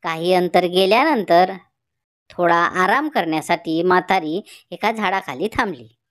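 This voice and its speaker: A woman's voice: on the low side, measured pace. A woman reading scripted narration for a children's folk tale as its storyteller.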